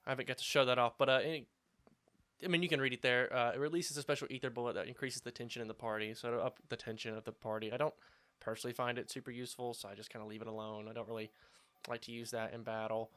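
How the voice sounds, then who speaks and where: A slightly hoarse man hosting a video game playthrough, chatting casually with lots of fillers while standing at his home setup. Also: mid-range voice, a bit bright. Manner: rambling, filler-heavy, upbeat. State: slightly hoarse after surgery. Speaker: let's play host. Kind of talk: casual gameplay commentary.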